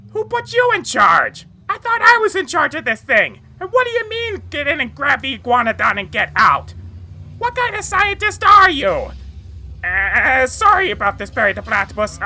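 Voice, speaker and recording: A woman as a high-pitched frantic cartoon mad scientist. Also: big pitch swings, moderate pace, pushed and bright, full character voice. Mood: alarmed. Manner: theatrical, exclamatory, rambling complaints. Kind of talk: cartoon fan tribute monologue.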